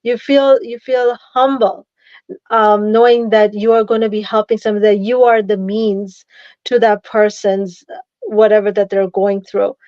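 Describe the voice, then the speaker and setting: A woman's voice: mid-range voice, level tone, moderate pace, a little mellow, dry recording. Woman, 30s, from Tanzania, guest, earnest, dry and dull remote sound. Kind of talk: casual interview.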